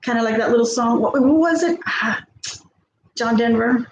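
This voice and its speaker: A woman's voice: fairly high pitch, moderate pace, echoey room, dull audio, slight background noise. A woman in her fifties from South Texas with a Texan accent, chatting on a live cooking stream, rambling in a friendly way. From South Texas, USA. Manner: rambling, friendly, often laughs and trails off. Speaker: cooking vlogger on a livestream. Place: home kitchen.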